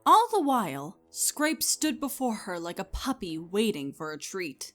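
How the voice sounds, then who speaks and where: Animated woman narrating a story. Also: medium pitch, measured pace, soft background music. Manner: expressive storytelling.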